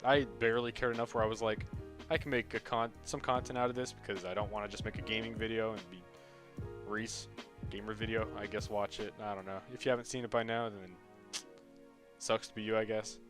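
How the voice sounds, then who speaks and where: A man's voice: on the low side, steady tone, fairly quick, fairly bright tone. Sarcastic young American man over music. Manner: sarcastic, profane. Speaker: video creator. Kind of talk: casual comedic rant commentary.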